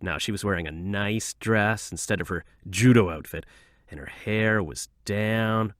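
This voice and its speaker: A man's voice: fairly low pitch, unhurried, no echo, studio quality, close to an everyday voice. A man acting as a defensive, naive, hot-headed son of a mayor in an audio drama, with a petulant manner.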